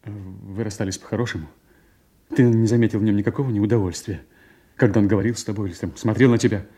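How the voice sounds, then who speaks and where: A young, resentful schemer in a classical audio drama. His voice is rough-edged and slightly low. He speaks with brooding, insinuating theatricality, passing off malice as concern. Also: steady tone, fast, dark tone. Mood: feigned concern. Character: Edmund, scheming resentful young bastard son, feigning brotherly concern. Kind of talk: theatrical radio drama acting.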